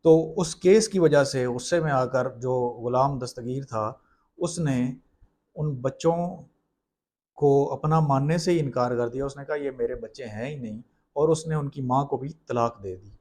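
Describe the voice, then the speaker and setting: A man with a Pakistani accent and a medium-pitched voice gives an informal legal lecture. He explains case law step by step and drops English legal terms into his speech. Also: a little slow, darkish tone.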